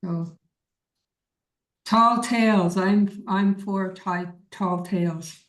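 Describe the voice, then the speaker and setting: A woman with a middle-pitched voice leads an informal online workshop. She takes questions at a slow pace, encouraging and repeating words for emphasis. The room around her is echoey. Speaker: workshop leader. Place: indoor room on a video call.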